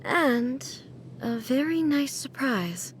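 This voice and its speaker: Solemn, high-voiced immortal ruler. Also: female voice, animated, slow pace, slight background noise. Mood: softened, solemn. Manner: formal, stately, restrained. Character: solemn, proud, lonely immortal female ruler deity. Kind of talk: dramatic video game cutscene acting.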